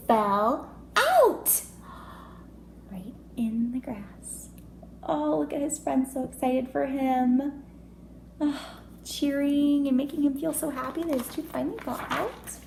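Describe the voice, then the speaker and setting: A woman reads a picture book to young children in an expressive, teacherly way. Her voice is fairly high with noticeable creak, and she takes her time, pausing for chatty asides. The room echoes around her and there is faint noise. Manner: expressive, child-directed, asking questions and commenting. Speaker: kindergarten teacher reading aloud. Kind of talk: storybook read-aloud with chatty asides to children.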